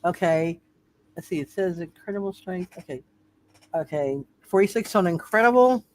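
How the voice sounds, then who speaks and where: A game master running a live tabletop superhero roleplay, speaking haltingly and often repeating words and phrases. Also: mid-range voice, expressive, a little hiss or hum, low-quality recording.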